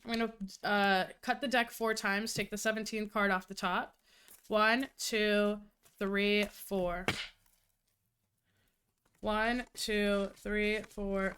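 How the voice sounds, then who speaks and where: A woman's voice: mid-range voice, a bit bright, slight background noise. A young adult woman streaming, chatty and profane, who sounds nasal and congested from a cold. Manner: chatty, profane, laughs often. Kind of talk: casual live-stream chat.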